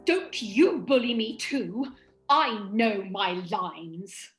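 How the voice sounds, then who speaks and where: A woman's voice: on the high side, animated, drawn-out words, faint music behind. A woman playing a haughty, defiant opera prima donna in an amateur radio play.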